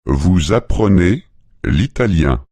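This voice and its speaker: A man saying model phrases slowly and deliberately for learners in a language lesson. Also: low voice, sing-song, warm tone, no room sound. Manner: deliberate, clearly spaced phrases. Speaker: French speaker in a language lesson.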